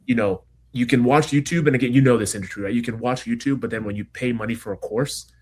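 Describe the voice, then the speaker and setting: A young man from Kenya with an American accent, telling his story quickly and energetically as a podcast guest. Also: mid-range voice. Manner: fast, energetic storytelling with frequent fillers. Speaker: guest entrepreneur. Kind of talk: casual interview chat.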